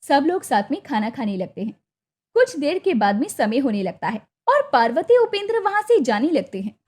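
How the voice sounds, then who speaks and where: A woman storyteller with a very high voice and lively intonation. She reads scripted narration for a cartoon moral tale. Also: moderate pace.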